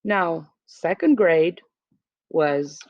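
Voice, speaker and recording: A woman reading a children's picture book aloud expressively, with dramatic pauses and emphasis. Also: sits low, lively intonation, a little hiss or hum. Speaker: storybook reader. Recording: dull, muffled home recording.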